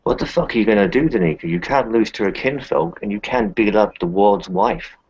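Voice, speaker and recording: A blunt, doubting werewolf healer, voiced by a man in a tabletop game with a low, husky voice. He talks casually and teasingly, and the audio is a little dull. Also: lively intonation, fairly quick, darkish tone, exaggerated voice. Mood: blunt, advising.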